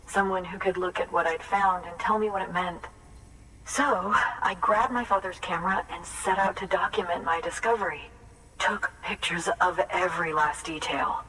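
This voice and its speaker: An earnest, anxious woman photographer in a video game story, played by an actress. Her voice is on the low side and she speaks in a heartfelt, hesitant way. It sounds slightly distant, with a faint noise underneath. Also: fairly bright tone, natural voice. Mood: earnest, wistful. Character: earnest, anxious female photographer carrying on her late father's research. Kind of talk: naturalistic video game cutscene acting.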